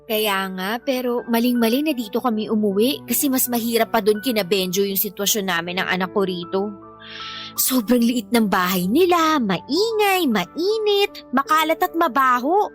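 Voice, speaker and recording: A woman's voice: a little high, lively intonation, moderate pace, faint music behind, natural voice. A woman playing an exasperated young mother who complains emphatically about her partner in a Filipino radio-style drama. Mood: exasperated, complaining.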